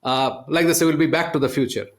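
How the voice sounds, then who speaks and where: High-voiced animated storytelling man. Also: fast talker. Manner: animated, repeats "I mean" and "okay?". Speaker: podcast host. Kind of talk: conversational storytelling.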